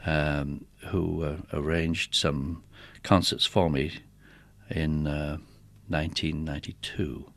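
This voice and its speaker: A man's voice: a bass voice, little pitch movement, takes it slowly, fairly bright tone, dry recording. A middle-aged man chatting casually as an interview guest, looking back over his working life as a musician. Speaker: interview guest, theatre organist. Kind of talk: casual conversational reminiscence.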